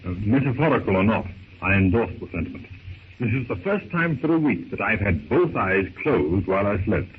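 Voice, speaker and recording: An actor playing a practical, level-headed gentleman adventurer with a measured, matter-of-fact delivery in old radio drama style. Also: fairly low pitch, sing-song. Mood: dry, good-humored. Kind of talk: 1930s radio drama acting. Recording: tinny, noisy old radio recording.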